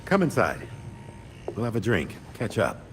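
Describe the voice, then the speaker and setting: An actor playing a plainspoken veteran police officer in a game cutscene. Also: male voice, lowish voice, wildly swooping pitch, measured pace, a bit bright, soft background music, full character voice. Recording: background noise.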